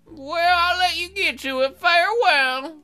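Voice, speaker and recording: A pompous, stern hammerhead shark principal from a visual novel, acted out by a woman. The voice is pitched very high and bright, with theatrical, drawn-out delivery over music. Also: exaggerated voice.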